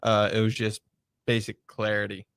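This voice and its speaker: A man in a casual group chat, telling stories in a relaxed way with fillers. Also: fairly low pitch, normal speed, clean tone, no echo, clean studio sound.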